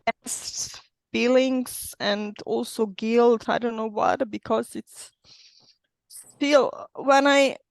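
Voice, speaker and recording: A woman from Slovenia with a Slovenian accent, sharing her experience as a coaching client in hesitant, careful English, often pausing to search for words. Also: a little high, steady tone, gravelly, no echo, rough recording. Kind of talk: personal account in a group coaching session.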